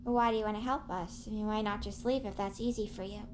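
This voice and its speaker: A woman's voice: medium pitch, fairly even intonation, brisk, over music, close to an everyday voice. An actress playing a skeptical young woman, a wary survivor with a questioning, sarcastic delivery in an audio drama. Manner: questioning, snarky.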